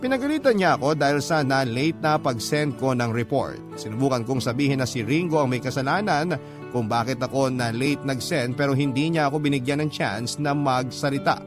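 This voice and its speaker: A mid-pitched man narrates a letter in classic radio storytelling style. He moves through it in measured phrases, with guitar music clearly playing behind him.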